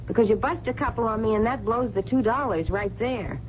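Brassy late-night waitress, played by an actress, wisecracking but kind, very lively pitch, dull old radio recording. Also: female voice, a little fast, slight background noise, close to an everyday voice. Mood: brisk, dismissive. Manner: streetwise, wisecracking, colloquial. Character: brassy, soft-hearted, talkative late-night diner waitress. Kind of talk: 1970s radio drama acting.

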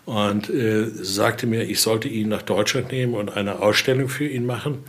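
Low-voiced man explaining informally. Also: moderate pace. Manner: frequent tag questions seeking agreement. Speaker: expert guide showing a collection.

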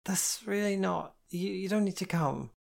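A woman's voice: mid-range voice, creaky, darkish tone, pitched above the performer's own voice, lightly acted. A woman playing a drunk, teary young woman. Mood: meek. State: drunk, slurring, crying.

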